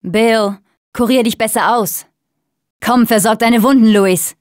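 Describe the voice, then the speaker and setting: A woman's voice: fairly high pitch, fairly even intonation, measured pace, no room sound, clean studio sound, lightly acted. A young woman acting a spirited, caring apocalypse survivor in a video game, speaking in short, emphatic phrases. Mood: practical, urging. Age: young adult.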